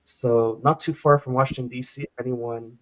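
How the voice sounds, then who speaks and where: A man's voice: mid-range voice, steady tone, normal speed, rough recording. A man from Trinidad and Tobago who grew up in Canada, an assistant professor giving a friendly, chatty self-introduction on a video call. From Trinidad and Tobago, raised in Canada. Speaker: assistant professor, webinar panelist.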